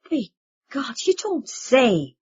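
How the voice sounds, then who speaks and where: A woman's voice: medium pitch, sing-song, a little slow, slightly rough, no room sound, lo-fi sound. A woman reading an audiobook, voicing an older father who splutters and exclaims in agitation. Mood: agitated.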